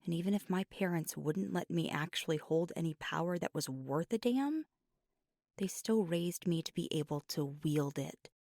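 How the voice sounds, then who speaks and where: A woman acts out a fiery, proud werewolf girlfriend, worn out and confiding late at night. Her voice is low-set, husky and gravelly, often sinking into a breathy whisper. She talks haltingly in an intimate ASMR roleplay. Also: no room sound, clean studio sound. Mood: proud, irritated. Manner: hushed, halting, sighing, often slipping into whisper.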